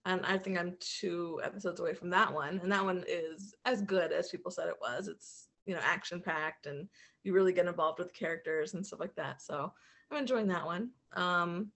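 Female podcast co-host with a lowish voice, informal, meandering, feeling her way through sentences. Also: normal speed, fairly bright tone. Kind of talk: casual chat about shows and comics.

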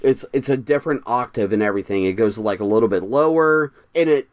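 Earnest podcast dad. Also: male voice, mid-range voice, fast. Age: young adult or middle-aged, parent of a six-year-old. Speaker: podcast co-host. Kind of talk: casual chat.

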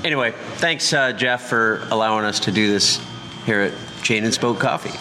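Chatty forty-year-old host, noisy shop. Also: male voice, lowish voice, normal speed, pushed and bright. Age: 40s. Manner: chatty, joking, asks loose questions. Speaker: podcast host. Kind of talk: casual podcast interview. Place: bike and coffee shop. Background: shop noise, people working on bikes.

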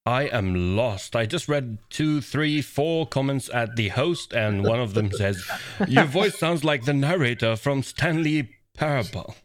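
A man co-hosting a community podcast, talking casually in a deep, commanding voice with a relaxed, playful way of cutting in. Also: a little slow. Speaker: podcast co-host.

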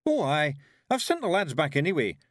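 A man's voice: a little high, huge pitch swings, fairly quick, no room sound, studio quality, pitched above the performer's own voice. A man voicing a tiny, fierce, loyal fairy man with a broad Scottish accent and blunt dialect speech. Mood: reassuring.

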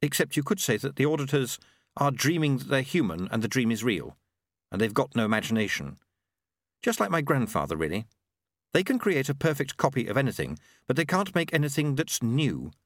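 A man puts on a knowing storybook woman, pitched well above his own voice. She is brisk and sarcastic, with lively swings of pitch and little patience. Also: dry recording, studio quality. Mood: brisk, sceptical. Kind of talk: audiobook character acting.

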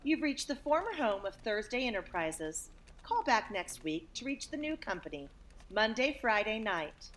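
A woman acts out a confident, competitive saleswoman from a 1940s-style radio farce. Her mid-range voice swings in pitch with plenty of animation. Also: sing-song, moderate pace, fairly bright tone, slight background noise. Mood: brisk, businesslike. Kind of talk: fast-paced 1940s radio comedy acting.

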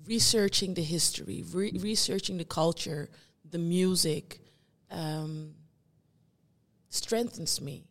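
A young woman from the Netherlands, a guest performing artist, speaking reflectively and searching for her words with many pauses. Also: fairly low pitch, in a large hall. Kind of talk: reflective conversation.

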